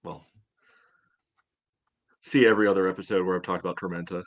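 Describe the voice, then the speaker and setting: A man with a fairly low voice talks sports as a podcast panelist. His delivery is conversational, dry and sardonic. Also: fairly even intonation, fairly quick. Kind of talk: casual sports chat.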